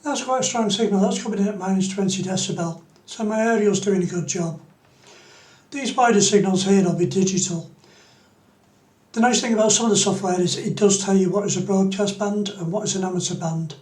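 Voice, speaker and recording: A man's voice: high voice, a little fast, darkish tone, reverberant, low-quality recording. A man giving an unscripted screen-demo tutorial, explaining things step by step. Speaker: tutorial presenter.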